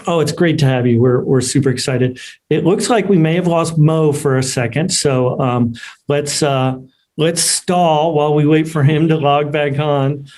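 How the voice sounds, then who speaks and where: A man with a slightly high voice hosts an online ceremony. He speaks off the cuff, with a halting, hesitant flow as he makes introductions. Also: darkish tone. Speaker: host of an online award ceremony. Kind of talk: unscripted event hosting. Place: remote video call.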